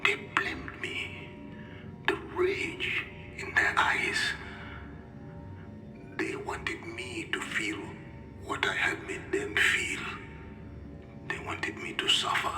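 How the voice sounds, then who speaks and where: A man playing a haunted, troubled character recording a game audio log in a halting, breathy whisper. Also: echoey room, music in the background, natural voice. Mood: haunted, guilty. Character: haunted man recording memory of his nightmare.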